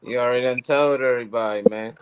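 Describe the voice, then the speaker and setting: A man's voice: medium pitch, fairly even intonation, quick pace, very noisy. A man joking and teasing in a casual, slangy live group chat. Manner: playful, teasing.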